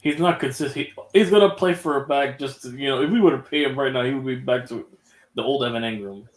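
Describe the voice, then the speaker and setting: A young man in his twenties talks fast and chimes in during a football chat. His voice rings in a roomy, echoey space. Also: mid-range voice. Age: 20s. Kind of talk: casual sports debate. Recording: roomy echo.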